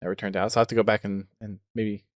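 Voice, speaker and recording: A man's voice: on the low side, level tone, fast, dry recording, clean studio sound. A man hosting a podcast in casual conversation, thinking aloud as he talks. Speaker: podcast host.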